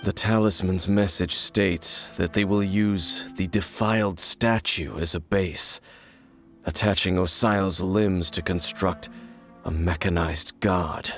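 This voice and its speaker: A man voicing a guarded, mysterious wandering swordsman in a video game, speaking in a measured, solemn and terse way. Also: low-pitched, steady tone, fairly bright tone, soft background music, full character voice.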